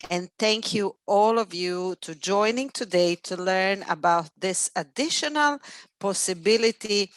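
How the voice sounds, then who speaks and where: Slow-speaking woman presenting a webinar. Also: mid-range voice, a bit bright, no echo. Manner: friendly, thankful. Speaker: webinar presenter, product executive.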